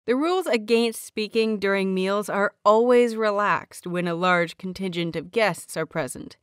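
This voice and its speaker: A woman's voice: mid-range voice, no room sound, clean studio sound. A woman reading a scripted fan fiction story aloud as its narrator.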